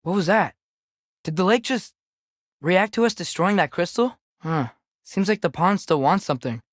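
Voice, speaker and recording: A man's voice: high voice, animated, normal speed, no echo, clean studio sound. A young man voicing an earnest, curious teenage boy adventurer in a video game, with natural, inquisitive phrasing. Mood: excited, wondering. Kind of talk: video game voice acting, companion dialogue.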